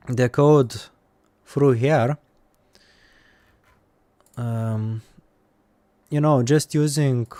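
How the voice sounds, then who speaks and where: A man with an Eastern European accent, live-streaming while coding and thinking aloud, with many pauses and fillers. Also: mid-range voice, darkish tone, no room sound.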